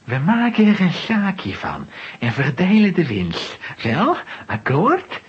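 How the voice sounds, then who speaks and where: A man's voice: sits high, wildly swooping pitch, takes it slowly, breathy edge, exaggerated voice. A man playing a scheming, threatening art dealer in a children's audio play, with a sly, theatrical delivery. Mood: greedy, calculating. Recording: dull, noisy old audio play recording.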